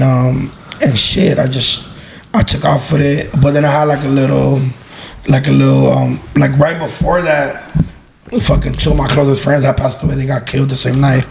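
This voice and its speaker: Young man, twenties, from los angeles, gravelly fry, slangy rambling chat, noisy background. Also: mid-range voice. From Los Angeles, United States. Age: 20s. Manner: loose slangy talk full of fillers and swearing. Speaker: guest, online video creator. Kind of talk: casual podcast chat.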